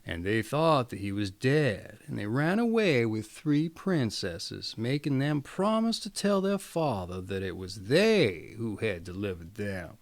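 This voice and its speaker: A man's voice: lowish voice, big pitch swings, measured pace, few pauses, no echo. A man reading a fairy tale aloud as a storyteller, with theatrical, emphatic delivery. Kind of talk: expressive scripted fairy-tale narration.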